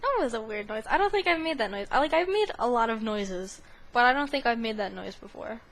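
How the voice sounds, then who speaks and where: A woman's voice: on the high side, expressive, fairly quick, a bit bright. A woman giving casual, silly commentary while playing a video game, with sing-song asides. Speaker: gaming video host. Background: video game sound.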